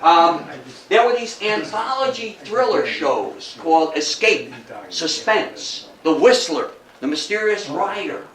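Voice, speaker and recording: A man giving an informal public lecture, talking conversationally and haltingly and often putting questions to his listeners. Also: high-pitched, animated, a bit bright. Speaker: guest lecturer. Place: indoor meeting room, before a local audience. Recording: echoey room, distant microphone.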